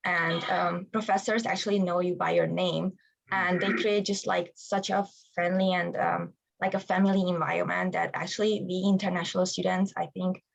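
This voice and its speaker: A woman's voice: on the low side, flat delivery, moderate pace, creaky, low-quality recording. A young woman from Hungary with a Hungarian accent, a student-athlete answering interview questions in English with many hesitant fillers. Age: young adult.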